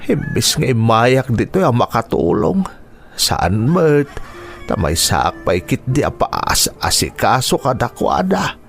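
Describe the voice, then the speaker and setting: A man's voice: medium pitch, big pitch swings, takes it slowly, gravelly, faint music behind, full character voice. A man playing a weak, ailing uncle in an Ilocano radio drama, plaintive and self-pitying. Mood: lonely, self-pitying.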